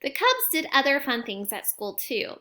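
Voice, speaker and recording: A woman's voice: sits high, huge pitch swings, moderate pace, sharp tone. A woman reading a children's picture book aloud like a teacher to young children.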